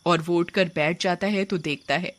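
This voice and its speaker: A woman's voice: fairly low pitch, fairly even intonation, moderate pace. A woman giving scripted narration for a scary cartoon story.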